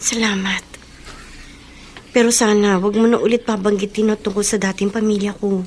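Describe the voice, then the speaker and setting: A woman's voice: medium pitch, little pitch movement, moderate pace, a little mellow, a little hiss or hum, lightly acted. A woman playing an emotional young wife and mother in a radio drama, with a heartfelt, emotive delivery. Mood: subdued, apologetic. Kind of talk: Filipino radio drama acting.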